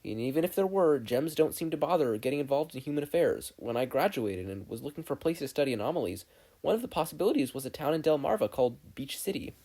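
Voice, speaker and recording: Narrator as a husky, reassuring older man. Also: medium pitch, mellow, voice pushed up. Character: calm, reassuring older great-uncle, a scholarly man.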